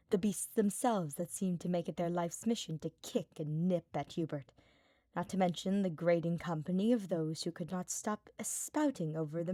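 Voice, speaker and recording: A woman with a somewhat low voice narrates a fantasy story. She reads from a script in the manner of an audiobook. The recording is very dry, with no audible room. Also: dark tone, no room sound. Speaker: podfic reader.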